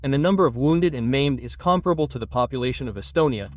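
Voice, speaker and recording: A man's voice: mid-range voice, expressive, fast talker, far from the mic. A man reading scripted political commentary in a sardonic, mocking manner. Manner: sarcastic, mocking. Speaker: narrator of a satirical war commentary video.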